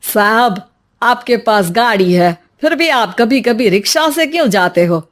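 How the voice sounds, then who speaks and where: A woman's voice: fairly high pitch, expressive, unhurried. A woman voicing a humble, anxious rickshaw-puller father in a cartoon story, deferential and pleading.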